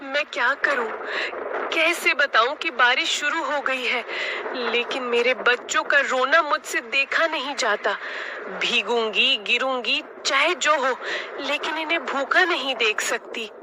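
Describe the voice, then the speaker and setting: A high voice of a woman acting as a devoted, anxious mother bird in a children's cartoon. She pleads slowly and dramatically, with tenderness. Clear background noise sits behind her. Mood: anguished. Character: anxious, self-sacrificing mother bird of small chicks.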